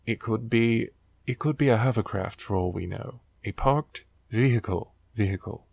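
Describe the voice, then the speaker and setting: A man's voice: on the low side, moderate pace, vocal fry, darkish tone, husky, dry recording, studio quality. A man hosting a book riffing show, reading aloud and commenting in a sarcastic, wry, exasperated way.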